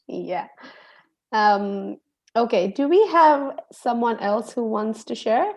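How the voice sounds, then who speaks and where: A woman's voice: a little high, lively intonation, slow. A woman from Bangladesh hosting introductions on a video call, friendly and chatty with frequent laughs. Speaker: online program facilitator.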